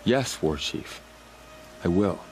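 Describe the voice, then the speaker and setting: Deep-voiced, earnest young warrior. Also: male voice, lively intonation, slow pace, a little mellow, slight background noise, exaggerated voice. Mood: eager, earnest. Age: young adult. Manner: earnest, loyal. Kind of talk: dramatic video game acting. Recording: game dialogue over music.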